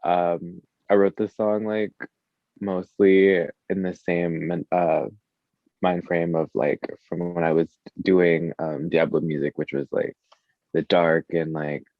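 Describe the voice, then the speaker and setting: A man's voice: sits low, no room sound, narrow, tinny audio. A man chatting casually as an interview guest, a musician with an informal, slangy, hesitant way of talking, saying "like" constantly. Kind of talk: casual chat.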